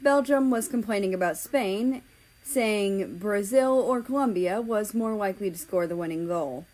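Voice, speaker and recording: A woman's voice: medium pitch, normal speed, darkish tone, low-quality recording. A woman narrating fan fiction in an audiobook style, acting out the dialogue between passages.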